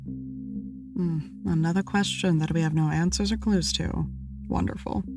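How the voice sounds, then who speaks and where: A woman's voice: low-pitched, sing-song, unhurried, vocal fry, music in the background, exaggerated voice. A woman playing a level-headed, dry-witted co-investigator in an audio drama. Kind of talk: audio drama dialogue, conversational.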